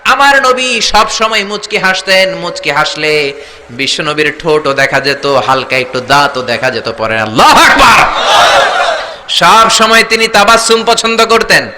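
A man's voice: sits high, lively intonation, a little slow, a crowd in the background, noisy background. A man preaching an Islamic sermon, telling stories emphatically and declaiming as he prompts listeners to respond. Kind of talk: fervent sermon storytelling with call-and-response to a crowd.

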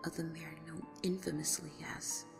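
A woman playing a haunted, grieving survivor who recounts a horror ordeal in a breathy half-whisper, as dramatic audio drama narration. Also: low voice, flat delivery, normal speed, faint music behind. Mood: bitter, grim.

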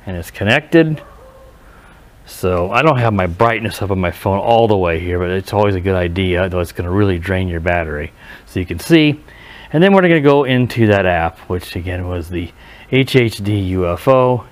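A man giving a casual, unscripted hands-on review outdoors, speaking haltingly and often correcting himself. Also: low voice, expressive. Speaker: product reviewer. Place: outdoors in a residential driveway. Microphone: head-mounted action camera.

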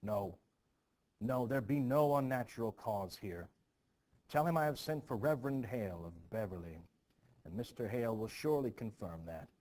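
A man's voice: medium pitch, expressive, moderate pace, a little mellow, natural voice. A man reading the part of an anxious, stern Puritan preacher and father, urgent and emphatic, in a stage play. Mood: stern, defensive.

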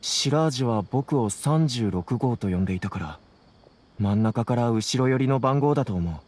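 A man's voice: mid-range voice, expressive, normal speed, a little mellow, a little hiss or hum, close to an everyday voice. An actor playing an earnest, apologetic young man in a Japanese anime game, speaking in a polite, subdued way. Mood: serious.

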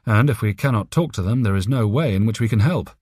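A man's voice: lowish voice, animated, fast talker, a little mellow, no room sound, studio quality. A man voicing a worried, deferential alien scientist in an audiobook story, speaking formally. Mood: earnest, worried. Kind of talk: audiobook character reading.

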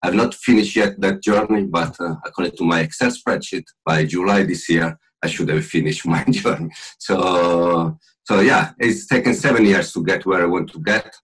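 A man's voice: deep voice, fairly bright tone, breathy edge, poor audio. A middle-aged Italian man with an Italian accent, chatting casually as a podcast guest over a video call from a room at home. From Italy. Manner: jokey, chatty, with filler pauses. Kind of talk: casual interview talk.

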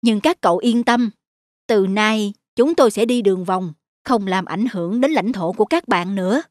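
A woman voicing a calm, kindly grown elephant in a cartoon, gentle and courteous. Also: mid-range voice, normal speed, no echo, studio quality, close to an everyday voice. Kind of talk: cartoon dialogue acting.

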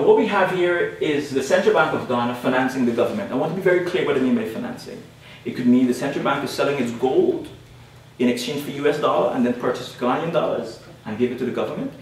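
Guyanese man presenting in an echoey hall. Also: mid-range voice. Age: young adult. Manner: emphatic, repeats key points, checks in with "all right?". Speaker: panel speaker. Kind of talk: academic presentation with slides. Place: lecture room at a panel event. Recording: very echoey room audio from far off with faint noise.

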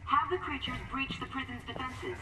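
A woman's voice: high voice, moderate pace, natural voice. An actress playing a composed, formal female television news anchor in a video game. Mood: serious. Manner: crisp, formal. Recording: distant, echoing, noisy TV speaker.

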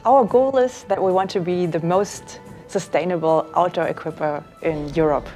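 A woman's voice: lowish voice, a little mellow. A woman in her forties speaking earnestly in a documentary interview, repeating words as she makes her point.

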